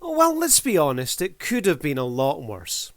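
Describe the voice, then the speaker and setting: A man's voice: on the high side, huge pitch swings, moderate pace, over loud music. A man hosting a review video, giving casual commentary in a showman-like manner with formal addresses to the audience.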